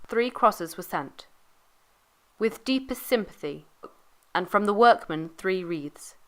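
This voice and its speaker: A woman's voice: mid-range voice, a bit bright. A woman narrating, reading an old news report from a script.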